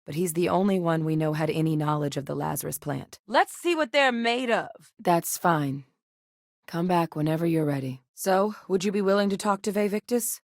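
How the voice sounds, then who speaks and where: An actress voicing a seasoned, determined female military xenobiologist in a video game, speaking in a measured, earnest, matter-of-fact way. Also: fairly low pitch, animated, dry recording, clean studio sound. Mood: urgent, intense. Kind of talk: scripted game dialogue.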